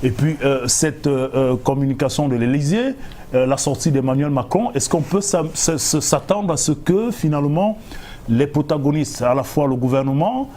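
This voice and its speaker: A man with a Cameroonian accent hosting a formal current-affairs interview, building long, deliberate questions clause by clause. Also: a little high, warm tone. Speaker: TV interviewer, host.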